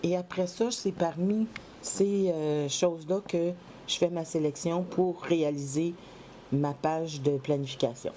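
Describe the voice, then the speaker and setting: A woman from Quebec with a head cold, chatting casually while decorating a planner, halting with many fillers. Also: low voice, fairly even intonation, warm tone, a little hiss or hum. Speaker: planner vlogger. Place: home craft table, indoors.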